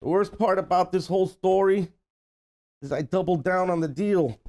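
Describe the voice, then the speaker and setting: A man's voice: sits high, normal speed, mellow. A man playing a desperate, scheming middle-aged uncle in a comedy table read, blustering and pleading. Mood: pleading, rueful.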